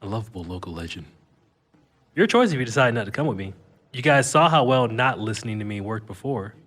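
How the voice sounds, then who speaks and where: A man's voice: lowish voice, sing-song, brisk, fairly bright tone. A man playing a cocky, wisecracking fugitive with a mechanical tail in an audio drama, slangy and flippant. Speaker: lead character and narrator. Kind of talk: first-person audio drama narration and dialogue. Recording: long reverb, clear background noise.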